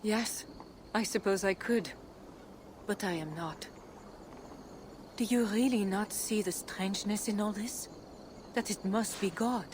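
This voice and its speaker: A woman playing a devout, enigmatic medieval Frankish queen in a video game cutscene, speaking in a composed, solemn and measured way. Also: medium pitch, a little mellow, slight background noise, close to an everyday voice. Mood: calm, fervent.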